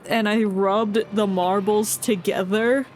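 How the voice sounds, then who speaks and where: Unhurried, goofy, rambling game streamer. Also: mid-range voice, steady tone, darkish tone. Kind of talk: casual live game commentary. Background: game music.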